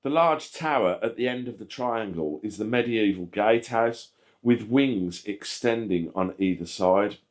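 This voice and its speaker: A man reading a scripted history narration aloud in a measured, factual manner. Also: lowish voice. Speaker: channel host narrating a history video.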